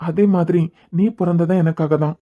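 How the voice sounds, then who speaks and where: A man's voice: a little high, little pitch movement, normal speed, narrow, tinny audio, close to an everyday voice. Man playing a tender young man in love. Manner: soft, tender and poetic. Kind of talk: romantic audio drama acting.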